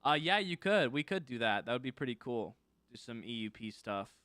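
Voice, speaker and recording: A man's voice: medium pitch, very animated, brisk, bright, forceful tone, dry recording. A man casually teaching scripting on a live stream, thinking aloud as he works and chatting with viewers. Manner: thinks aloud while working, loose and informal, reads chat and answers.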